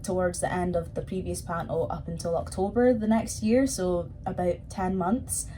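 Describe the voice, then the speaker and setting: A woman's voice: on the low side, steady tone, moderate pace, mellow, a little hiss or hum, poor audio. A woman who performs in pantomime, answering questions in a casual, chatty way.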